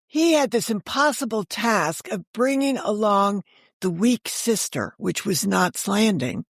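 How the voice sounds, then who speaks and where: A woman's voice: medium pitch, lively intonation, a little slow, gravelly, no echo, clean studio sound. An older woman talking as an interview guest, telling stories conversationally and correcting herself as she explains. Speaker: guest, author and editor.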